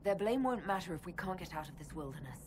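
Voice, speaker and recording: A woman's voice: fairly low pitch, lively intonation, fast talker, a little hiss or hum, natural voice. A woman playing a determined young elf hero in a video game, speaking bluntly and with urgency. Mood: urgent, frustrated. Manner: blunt, urgent, forceful wording. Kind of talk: dramatic video game dialogue.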